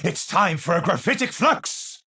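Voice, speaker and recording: An eccentric middle-aged scientist for a video game. His voice is fairly high and swings widely in pitch. He takes his time over formal, precise phrases, sounding haunted and theatrical. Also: lively intonation, measured pace, gravelly, bright, forceful tone, husky, full character voice. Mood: urgent. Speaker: video game hero character. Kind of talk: scripted game voice lines, theatrical.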